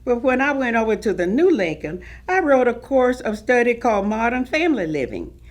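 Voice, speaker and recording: A woman's voice: on the high side, very animated, brisk. A woman playing a dignified retired schoolteacher grandmother in a staged reading, recounting her career in a composed, precise way. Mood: dignified, proud. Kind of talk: dramatic staged reading of oral history.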